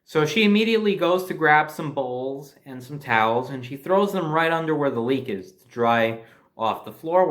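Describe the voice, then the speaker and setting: A man's voice: medium pitch, a bit bright. An American man telling a personal anecdote in a casual, conversational and emphatic way, as a storyteller. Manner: conversational, emphatic, mildly profane.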